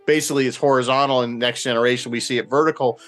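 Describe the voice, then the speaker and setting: Explanatory man, mid-pitched, on a panel. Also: steady tone, very fast, a bit bright. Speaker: radio panelist. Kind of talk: casual fan discussion.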